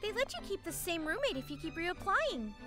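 A woman's voice: extremely high pitch, very animated, brisk, over loud music. A woman doing a breezy, chatty schoolgirl. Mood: conspiratorial. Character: friendly chatty veteran schoolgirl. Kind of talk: fan dub acting.

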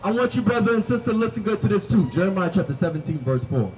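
A young man preaching on a city sidewalk into a handheld microphone, commanding and questioning his listeners, often rising to shouts. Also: sits high, very animated, fast, dull audio, slight background noise. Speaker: street preacher. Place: city sidewalk by a food cart.

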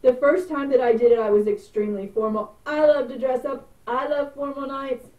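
A woman's voice: high-pitched, steady tone, brisk, darkish tone. A middle-aged woman hosting a travel vlog, chatting casually and explaining step by step. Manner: chatty, gestures with hands while explaining. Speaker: travel vlogger. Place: small indoor room before a purple curtain. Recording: roomy, distant, phone-like sound.

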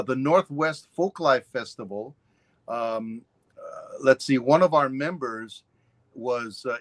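A man's voice: medium pitch, dry recording. A man in his sixties from Hawaii, a ukulele teacher telling his story on a video call, wandering through asides and fillers. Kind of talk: casual interview chat. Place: home room on a video call. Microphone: webcam on a video call.

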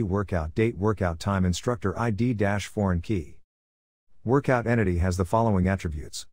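Deep-voiced guy reading tutorial slides step by step, dry close sound. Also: no echo. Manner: reads lists in an even, methodical tone. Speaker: tutorial narrator. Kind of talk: scripted slide narration. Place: voice-over over slides.

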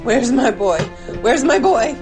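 A desperate captive mother in an audio drama. Her voice is high and halting, distraught yet defiant. Music plays clearly behind her. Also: lively intonation. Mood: frantic.

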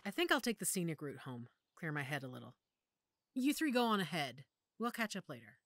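A woman playing a motherly, firm female werewolf pack leader in an audio drama, measured and authoritative. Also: sits low, big pitch swings, a bit bright, dry recording, full character voice. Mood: weary.

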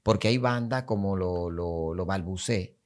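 A man's voice: sits low, unhurried, a little mellow. A man in his forties with a Dominican Spanish accent, talking emphatically and repeating his points as a podcast guest. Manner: emphatic, repetitive, gestures while talking. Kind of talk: podcast conversation. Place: small studio set. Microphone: studio mic on boom arm.

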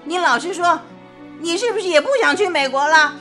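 A woman's voice: very high voice, a little fast, bright, forceful tone, soft background music. An actress playing a blunt, exasperated middle-aged mother in a radio drama, scolding in colloquial rhetorical questions.

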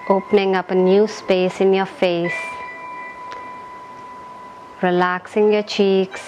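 A woman leading a guided meditation in a calm, gentle, soothing manner, giving instructions in short phrases with long pauses. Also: lowish voice, lo-fi sound, noisy background.

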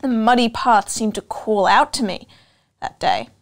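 A young woman reads a picture book aloud for children. Her voice is fairly high, and she goes slowly and expressively, with deliberate phrasing and questions to the listener. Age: young adult. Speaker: storytime reader. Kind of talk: children's storybook read-aloud. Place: indoor room with couch and bookshelves.

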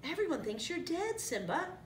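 Young lioness, read by a woman: earnest, insistent, pleading, raised lively pitch, storybook tale, roomy distant sound. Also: normal speed, slight background noise. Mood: astonished. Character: earnest young female lion, urgent and pleading.

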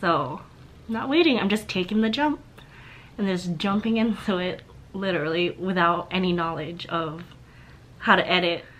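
A young woman in her twenties, a little hoarse from a sore throat, talking softly and haltingly to a camera in a small room at home. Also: lowish voice, a little hiss or hum. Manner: soft-spoken, halting, with restarts. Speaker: vlogger. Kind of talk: casual talk to camera. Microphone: camera on a stand facing her.

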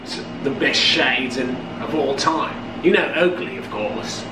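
A man's voice: mid-range voice, measured pace, close to an everyday voice. A young man playing a cocky, laddish Australian with a boastful drawl and an Australian accent. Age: young adult. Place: small home kitchen. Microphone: camera a few feet away. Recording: roomy, distant camera sound, faint hum.

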